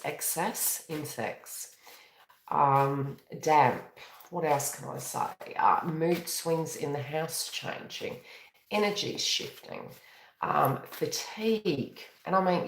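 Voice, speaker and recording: A woman's voice: low-pitched, roomy sound, a little hiss or hum, low-quality recording. A woman with a New Zealand accent, a tarot reader and astrologer on a live stream, talking in a tired, rambling, conversational way with many self-corrections. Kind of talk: live-streamed spiritual reading.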